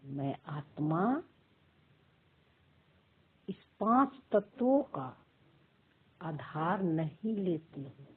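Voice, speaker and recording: A woman leading a guided meditation, speaking in short, deliberate phrases with long pauses, on muffled, narrow audio. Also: deep voice, animated, slightly rough.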